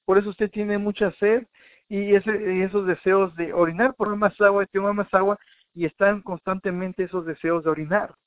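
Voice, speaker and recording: High-pitched man on a tinny phone-like line. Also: steady tone, moderate pace, no room sound. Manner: didactic, addressing the listener directly. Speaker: health product presenter. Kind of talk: informal health talk with numbered points. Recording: narrow, tinny phone-like sound.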